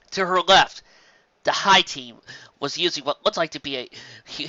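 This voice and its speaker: A reader reading a pony fan story aloud with expressive storytelling. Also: medium pitch, dry recording, lo-fi sound.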